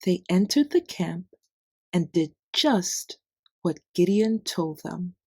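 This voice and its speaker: A woman reading a children's bedtime story in simple, deliberate phrases with expressive emphasis. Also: lowish voice, husky, no room sound. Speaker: children's Bible story narrator. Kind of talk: scripted read-aloud bedtime story narration.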